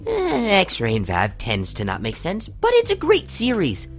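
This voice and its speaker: A man's voice: sits high, huge pitch swings, a little slow, full character voice. An actor playing an earnest, geeky young male huntsman student in an audio drama. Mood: geeky enthusiasm. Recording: music under the voice.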